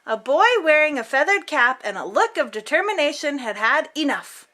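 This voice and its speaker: A woman's voice: high-pitched, sing-song, normal speed, sharp tone. A woman reading a children's picture book aloud as host, theatrically, drawing out words for emphasis.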